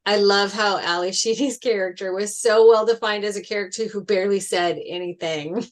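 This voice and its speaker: A woman's voice: mid-range voice, fairly even intonation, normal speed. A woman giving her opinions casually in a group discussion.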